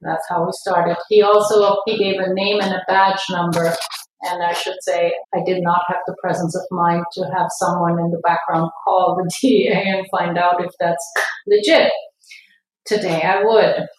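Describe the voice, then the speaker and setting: A middle-aged woman speaks with a German accent, recounting things conversationally as a guest. Her voice is on the low side and very even in pitch. She sounds set back from the microphone in a very echoey room. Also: moderate pace, a little hiss or hum. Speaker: interview guest, dentist. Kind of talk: conversational recounting of personal experience. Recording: echoey room, distant from the mic.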